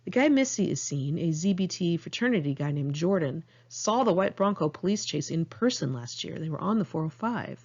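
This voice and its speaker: A woman with an American accent narrates in a fairly low voice, on a slightly muffled, lo-fi recording. She reads personal journal entries like an audiobook, informal and candid. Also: animated, a little fast, hardly any pauses, darkish tone. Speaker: author reading own memoir.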